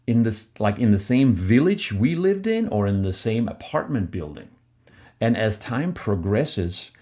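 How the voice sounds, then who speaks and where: A middle-aged man, co-hosting a podcast in relaxed, conversational talk with frequent fillers. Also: lowish voice. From Denmark. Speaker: podcast co-host.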